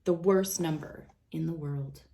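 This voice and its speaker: A woman's voice: deep voice, a little slow, gravelly, warm tone, a little hiss or hum. A woman reading a children's storybook aloud as narrator. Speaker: children's book reader.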